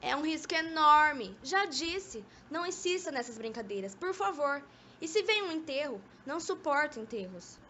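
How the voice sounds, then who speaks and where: A woman's voice: high voice, animated, normal speed, sharp tone. A young woman acting a haughty, bored, reluctant former lover in an amateur radio drama, with a Brazilian accent and petulant delivery. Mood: uneasy. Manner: petulant, disdainful. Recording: dull, noisy amateur recording.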